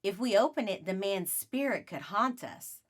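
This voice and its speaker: Contrary young boy for a storybook, woman performing; skeptical, taunting, animated delivery. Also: mid-range voice, moderate pace, natural voice. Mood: spooky. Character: teasing boy, skeptical, spooky-minded sibling.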